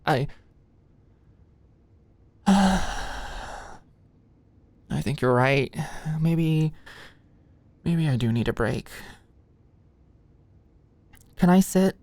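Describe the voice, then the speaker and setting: A woman playing an anxious, stressed young medical student in an ASMR audio roleplay, halting and stammering as she talks. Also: sits low, expressive, darkish tone, no echo, full character voice. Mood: sheepish, deflated.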